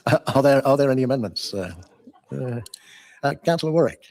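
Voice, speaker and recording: A man's voice: mid-range voice, animated, brisk, darkish tone. A man chairing a formal meeting, politely and procedurally, with some hesitancy. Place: council chamber.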